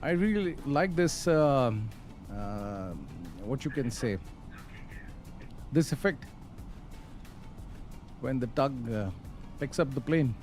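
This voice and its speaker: A man giving casual live commentary on a flight simulator, explaining step by step with hesitant pauses. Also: mid-range voice, lively intonation, a little mellow, faint music behind.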